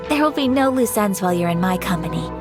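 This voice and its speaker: A woman playing a gracious, gentle young noblewoman in a video game, speaking in formal, polite, measured phrases. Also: medium pitch, lively intonation, music in the background, close to an everyday voice. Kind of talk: scripted video game voice acting.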